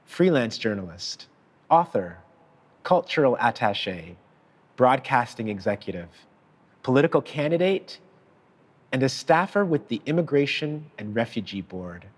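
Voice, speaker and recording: A man reading a prepared tribute in a measured, deliberate and formal way. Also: mid-range voice, sing-song. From child of parents from Sierra Leone. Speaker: author giving a tribute. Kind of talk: prepared speech read aloud.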